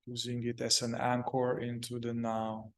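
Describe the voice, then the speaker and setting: A man leading a guided meditation, speaking calmly in short, halting phrases with long pauses. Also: medium pitch.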